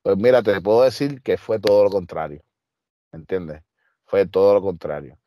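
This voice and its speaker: A man's voice: deep voice, fairly even intonation, moderate pace, warm tone, a little hiss or hum. A man with a Puerto Rican accent, a wrestler and trainer telling stories in an interview, emphatic and streetwise with lots of fillers. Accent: Puerto Rican Spanish. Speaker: wrestler guest. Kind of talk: casual interview storytelling.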